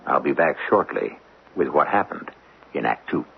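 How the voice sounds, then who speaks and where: A man's voice: extremely low pitch, animated, moderate pace, gravelly, heavy background noise. An American man hosting and narrating a radio drama in a dramatic scripted style. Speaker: host and narrator. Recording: thin phone-like old radio broadcast. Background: loud music.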